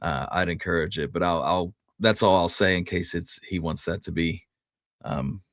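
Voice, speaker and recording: A man's voice: deep voice, moderate pace, fairly bright tone, no room sound, clean studio sound. A man co-hosting a history show in casual chat, choosing his words carefully and hesitantly.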